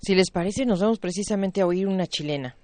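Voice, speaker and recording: Brisk radio host, mid-range voice. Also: medium pitch, darkish tone, no echo. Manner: brisk, friendly, short backchannels. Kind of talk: radio interview hosting.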